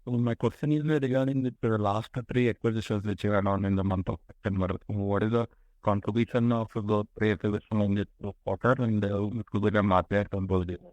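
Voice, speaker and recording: A man with an Indian accent calling in as an investment analyst, asking questions haltingly and searching for words. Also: fairly low pitch, fairly even intonation, darkish tone, dry recording, low-quality recording. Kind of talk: business questioning on a call. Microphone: conference call line.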